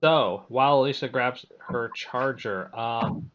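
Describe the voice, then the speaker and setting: A man's voice: medium pitch, takes it slowly, fairly bright tone, lo-fi sound. A man hosting a technical webinar on a video call, reading chat questions and filling time with self-deprecating jokes. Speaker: webinar host and moderator. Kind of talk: casual moderating and filler talk.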